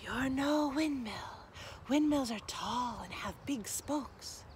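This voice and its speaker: A woman voicing a kind, wise windmill in a storybook, speaking gently and slowly. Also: high-pitched, lively intonation, slight background noise, voice pushed up. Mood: gentle. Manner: gentle and slow, soft-spoken.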